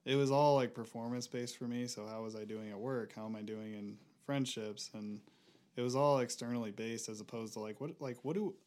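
A man's voice: mid-range voice, fairly even intonation, brisk, a little mellow. Reflective man talking things through. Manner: reflective, hesitant, many fillers and restarts. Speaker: podcast guest. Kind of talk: casual conversation.

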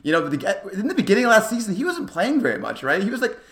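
A man's voice: sits high, wildly swooping pitch, fast talker, a bit bright. A man co-hosting a sports podcast, chatting informally in a casual back-and-forth style. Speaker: podcast co-host. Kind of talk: casual basketball podcast chat.

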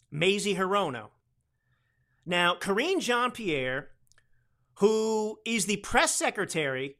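Bright-voiced sarcastic male podcast host. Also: high voice. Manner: sarcastic, mocking, rambling with restarts. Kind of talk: political commentary podcast.

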